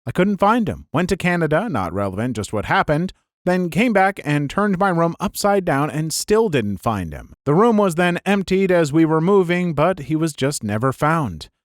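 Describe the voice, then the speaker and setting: A man reads viewer stories aloud in a soothing voice that still rises and falls in a lively way, sitting a little high for a man. His asides are chatty and self-mocking, and the sound is dry and close, with no room around it. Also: normal speed, no room sound. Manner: lively, laughs at himself in the asides. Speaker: narrator of a storytelling channel.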